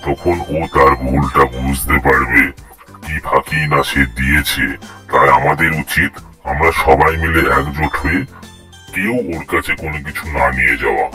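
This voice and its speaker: A man acts a cartoon tiger king with an extremely deep voice. He is a commanding judge of the jungle who weighs his words, over faint music. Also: fairly even intonation, moderate pace, pushed and bright. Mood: resolute. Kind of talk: cartoon voice acting for children.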